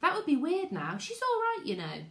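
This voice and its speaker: A woman voicing a brisk, bossy young boy adventurer in a story, with an emphatic delivery. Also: extremely high pitch, wildly swooping pitch, pitched above the performer's own voice, exaggerated voice. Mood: matter-of-fact. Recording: echoey room.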